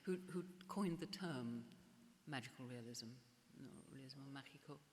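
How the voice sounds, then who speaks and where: An older woman art historian speaking at a public gallery conversation, halting and often restarting her words. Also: very deep voice. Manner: hesitant, restarts and repeats words. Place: gallery event space before an audience. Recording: echoey hall, faint hiss.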